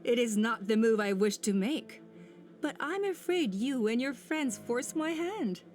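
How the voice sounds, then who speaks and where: A cold, scheming usurper who talks calmly and menacingly in a slightly high voice, full of condescension. It sounds like a video game villain's cutscene. Strong music plays under her lines. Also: measured pace.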